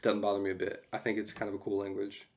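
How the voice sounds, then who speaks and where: A laid-back man chatting on a podcast, his voice on the low side. He rambles through his thoughts with easy chuckles. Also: completely flat delivery, fast, a little mellow, slight background noise. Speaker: podcast co-host. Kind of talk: casual chat.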